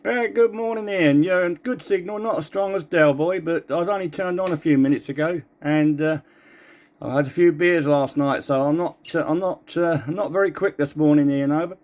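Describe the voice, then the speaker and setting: Male CB radio hobbyist, raised pitch, casual chat over two-way radio. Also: moderate pace, darkish tone. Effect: two-way radio transmission. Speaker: amateur CB radio operator.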